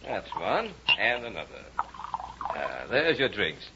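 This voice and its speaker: A man playing a courtly but brooding, possessive and lonely plantation gentleman in a vintage radio drama. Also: fairly low pitch, wildly swooping pitch, slow pace, exaggerated voice. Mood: effusive welcome. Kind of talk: 1950s radio acting. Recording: noisy, muffled old radio recording.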